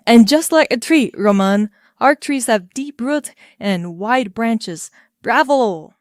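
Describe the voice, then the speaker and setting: A graceful female spirit of nature, played by a woman, speaks slowly and gently. Her voice sits on the high side and feels airy and unhurried. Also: animated. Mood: gentle.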